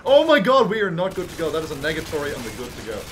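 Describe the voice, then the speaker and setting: A man's voice: a little high, sing-song, rapid-fire, noisy background. A man co-hosting a gaming channel, joking and ranting in casual banter, laughing often.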